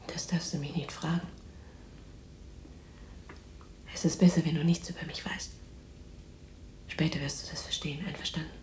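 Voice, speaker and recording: An actress playing a weary but defiant, tender imprisoned resistance fighter in a German radio drama, speaking in a breathy, halting, intimate hush. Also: female voice, low-pitched, level tone, roomy sound, lightly acted. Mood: guarded, reassuring. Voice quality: breathy whispering. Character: exhausted, defiant, tender imprisoned resistance woman in wartime Netherlands.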